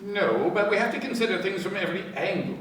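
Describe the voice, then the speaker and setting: A man playing a methodical, cautious police inspector in a radio play, deliberate and given to thoughtful hums and pauses. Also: high-pitched, lively intonation, a bit bright. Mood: analytical, brisk. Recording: very echoey, distant, with background noise.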